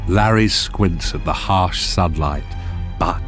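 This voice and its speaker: A man narrating a radio drama from a script in a measured, descriptive way. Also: deep voice, a bit bright. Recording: music clearly behind. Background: music.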